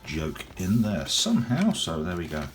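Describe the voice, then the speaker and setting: A young man with a cold, his voice deep and gruff, chatting casually and rambling as he unboxes and reviews a toy. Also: huge pitch swings, moderate pace, very noisy. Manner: rambling, chatty, frequent ums. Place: indoors at a table, off camera.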